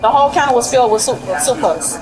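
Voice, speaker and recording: A woman's voice: a little high, fast talker, music in the background. A woman working as a store employee, casually recounting an incident. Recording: busy store noise, off-mic.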